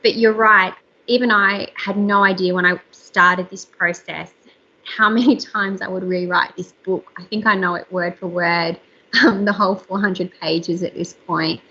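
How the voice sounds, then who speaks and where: A woman in her thirties, an author and journalist, chatting candidly with a slightly squeaky voice from a room at home over a video call. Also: steady tone, normal speed, pushed and bright, dull audio. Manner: chatty, candid, frequent fillers. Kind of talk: casual interview chat.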